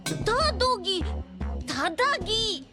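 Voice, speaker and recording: A woman voicing a small, excitable game creature with a squeaky, childlike voice, babbling in repeated nonsense syllables. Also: slow pace, over music, full character voice. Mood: excited. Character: small excitable oddity creature babbling only its own name.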